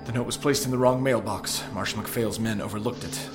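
An actor as a low-voiced, dutiful army major. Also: male voice, fairly even intonation, fairly quick, music in the background, close to an everyday voice. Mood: urgent, respectful. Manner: clipped, formal, insistent. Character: dutiful, urgent male army major of the 1860s. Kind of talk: historical audio drama acting.

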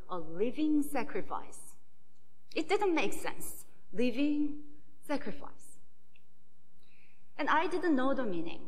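A woman whose first language is Korean, preaching a sermon as a pastor in a slow, measured way. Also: fairly high pitch, big-room sound.

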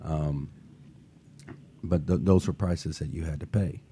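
Deep mellow guy hosting a motivational talk show, gets fired up and earnest. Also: steady tone, unhurried, slight background noise. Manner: earnest, rising to passionate emphasis. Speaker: talk show host and life coach.

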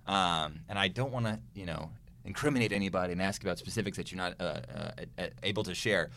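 A man's voice: deep voice, fairly bright tone. A man hosting a business school podcast, chatting casually and teasing his guests. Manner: playful, teasing, quick interjections. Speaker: podcast host. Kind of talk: casual interview chat.

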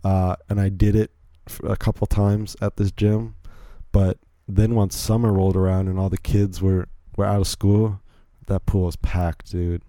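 A man's voice: sits low, flat delivery, normal speed, few pauses, dark tone, dry recording. A young man from Virginia hosting a solo podcast, rambling casually with frequent restarts and self-deprecating asides. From Virginia, USA. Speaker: podcast host.

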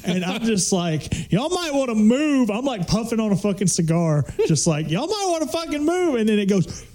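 A man chatting casually and telling stories on a podcast, with slangy, profane, animated delivery. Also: high voice, moderate pace. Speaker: podcast crew member. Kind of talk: casual comedic storytelling chat.

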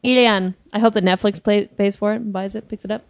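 A woman's voice: medium pitch, fairly even intonation, fast talker, no echo. Offhand woman chatting about films. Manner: offhand, swears casually. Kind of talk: casual movie chat.

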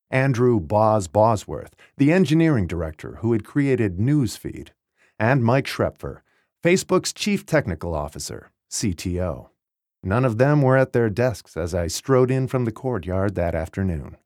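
Male narrator for memoir audiobook: lowish register, very expressive intonation, wry and articulate delivery, dry close studio sound. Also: no room sound. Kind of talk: scripted audiobook narration of a first-person memoir.

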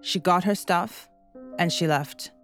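A woman hosting a podcast, reading scripted documentary narration in a measured, earnest way. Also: lowish voice, soft background music.

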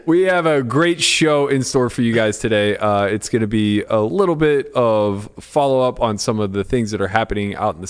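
A man with a somewhat low-pitched voice hosts a casual podcast. He talks informally and bluntly, teasing his co-hosts and swearing freely as he banters about poker. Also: clear, resonant tone. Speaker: podcast host.

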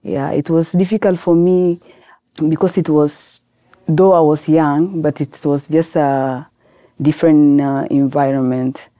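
Podcast guest, woman from South Sudan, low dark voice, dry close sound, earnest and halting. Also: steady tone, no echo. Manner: earnest, halting non-native English with restarts. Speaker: guest, lawyer. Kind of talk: podcast interview answers.

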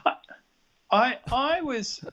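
A man's voice: very high-pitched, lively intonation, slow, crowd noise. An older man with an Australian accent, chatting casually on a podcast, rambling with many restarts. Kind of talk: casual chat. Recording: dull lo-fi sound.

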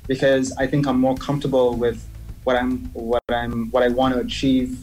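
A man who grew up in Dubai, a DJ talking casually as a guest, pausing often with fillers and restarts. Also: medium pitch, level tone, darkish tone, faint music behind, poor audio. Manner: hesitant, many fillers and restarts. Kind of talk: casual interview chat.

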